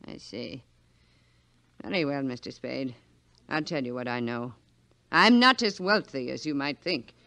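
An actress playing a middle-aged, flustered society aunt in a 1940s radio drama, stammering and speaking in flowery melodrama. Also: female voice, extremely low pitch, expressive, a little slow, long pauses, pushed and bright, heavily acted. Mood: flustered confession. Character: theatrical, flustered society aunt, shady and self-pitying.

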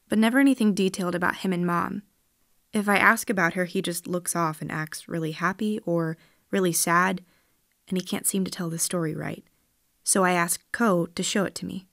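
An earnest space-raised girl, bit of vocal fry, dry studio sound, sci-fi audio play. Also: on the low side, fairly bright tone, no echo. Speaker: actor in an audio drama. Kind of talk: science fiction audio drama acting.

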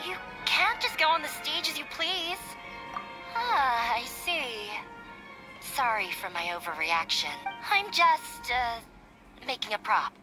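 A woman's voice: high voice, sing-song, slow pace, heavily acted, rough recording. A woman voicing a jumpy, secretive young female prop maker in a video game, flustered and evasive. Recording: game audio with music.

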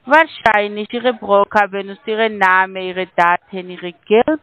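A non-native woman with a foreign accent, reciting a scripted call centre pitch over the telephone. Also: steady tone, a little slow, a bit bright, no room sound. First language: not German. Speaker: scam caller posing as investigator. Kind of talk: scripted phone pitch in broken German. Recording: phone-like telephone line.

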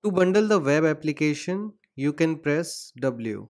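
A man's voice: a little high, unhurried. A man hosting a screen-recorded coding tutorial, explaining steps and spelling out terminal commands. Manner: steady, instructional, spells out commands.

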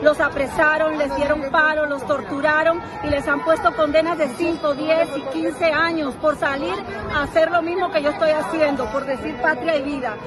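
A woman's voice: high voice, steady tone, moderate pace, crowd noise. A woman, speaking earnestly and indignantly as a protester in a street interview. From Cuba.

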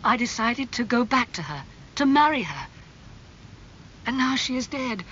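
A man voicing an anguished, impulsive young gentleman in an audiobook, earnest and pleading in manner. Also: high-pitched, sing-song, moderate pace, highs cut off, a little hiss or hum, heavily acted. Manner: emotional, pleading.

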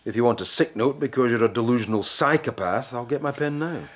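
A man's voice: medium pitch, fast talker, lightly acted. An actor playing an indignant, easily flustered male psychiatrist in a radio drama, speaking precisely. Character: exasperated, indignant male psychiatrist who practises hypnosis.

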